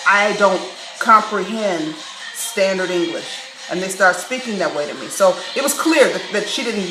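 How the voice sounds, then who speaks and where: A woman from Chicago telling a story to camera in a candid, wry vlog, breaking into laughs and animated asides. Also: mid-range voice, background noise. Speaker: vlogger. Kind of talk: casual storytelling monologue. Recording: echoey room, faint background music.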